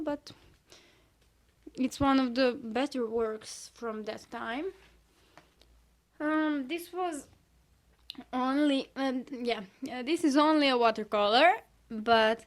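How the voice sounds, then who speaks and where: A young woman with a Serbian accent, Serbian her first language, casually showing her sketchbook and talking about each page with hesitant pauses and self-critical asides. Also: a little high. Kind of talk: casual sketchbook tour chat.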